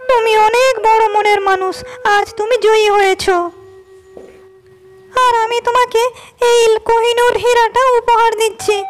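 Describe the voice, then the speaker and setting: Woman voicing a high, gentle fairy queen. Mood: approving. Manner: gentle, teaching tone. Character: kind wise female fairy queen testing hero. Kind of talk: storybook cartoon dubbing.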